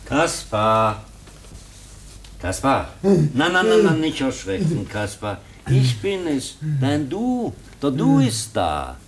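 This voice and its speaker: A man playing a patient, devoted professor in a radio play, coaxing gently and explaining carefully. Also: mid-range voice, wildly swooping pitch, slow pace. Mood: gentle, soothing. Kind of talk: German radio play acting. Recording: noisy old radio play recording.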